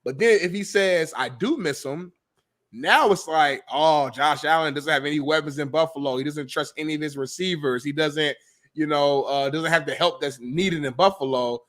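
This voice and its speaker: A man's voice: a little high, normal speed, fairly bright tone. A man in his thirties hosting a casual sports talk show, opinionated and laughing often. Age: 30s. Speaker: host.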